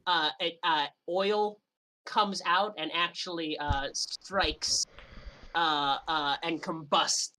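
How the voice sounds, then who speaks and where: Slow, halting woman playing a tabletop game. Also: lowish voice, steady tone, sharp tone, rough recording. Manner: hesitant, halting, many long hesitations. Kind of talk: casual game chat.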